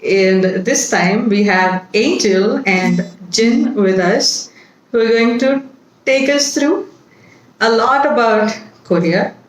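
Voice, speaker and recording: A woman's voice: a little high, slow pace. Woman host in an echoey room. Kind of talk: hosting an online interview.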